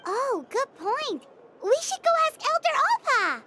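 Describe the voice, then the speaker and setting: A woman voicing a small, childlike, excitable floating companion in a video game, with a squeaky voice and an exclamatory manner. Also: unhurried, over loud music, full character voice. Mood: excited, curious. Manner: exclamatory, speaks of self in third person.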